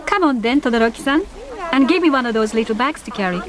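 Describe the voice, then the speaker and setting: An actress playing a proud, frank young Japanese island diver in a radio drama, with direct, formal phrasing. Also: female voice, on the high side, lively intonation, fast talker, a crowd in the background, close to an everyday voice. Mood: businesslike.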